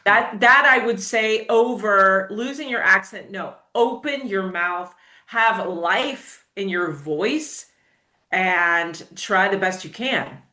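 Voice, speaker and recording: An American woman tutor with a bright, forceful voice gives firm, encouraging advice. She speaks with lively emphasis, and her voice rings in an echoey room. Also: medium pitch, takes it slowly. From United States. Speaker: English tutor. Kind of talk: casual interview.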